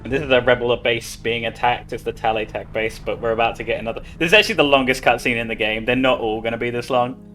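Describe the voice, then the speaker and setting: A man's voice: medium pitch, fairly even intonation, quick pace, hardly any pauses, pushed and bright. A man giving casual live commentary as he speedruns a video game. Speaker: speedrunner playing live at a gaming marathon. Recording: game music behind the voice.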